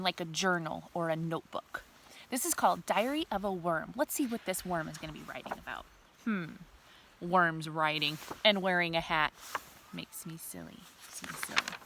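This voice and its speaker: A woman's voice: mid-range voice, bright, forceful tone, slight background noise. A woman reading a picture book to children expressively, with simple explanations and questions to her young listeners. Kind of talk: read-aloud storytime with asides to young listeners.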